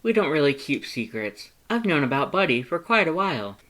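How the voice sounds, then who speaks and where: A man's voice: fairly high pitch, sing-song, brisk, a bit bright, pitched above the performer's own voice. A man voicing a proud, earnest cartoon skeleton in a story, with a bombastic, formal and emphatic way of talking. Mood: earnest, approving.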